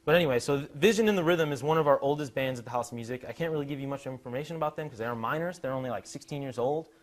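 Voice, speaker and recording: A man's voice: medium pitch, rapid-fire. A man giving an informal presentation in a conversational, casual way.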